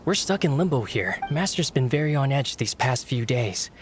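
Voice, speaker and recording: A man plays a weary clan servant in a video game. He grumbles with resignation, his mid-pitched voice moving up and down expressively. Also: very animated, moderate pace, soft background music, close to an everyday voice. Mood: resigned, exasperated. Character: weary, exasperated male servant of a clan master.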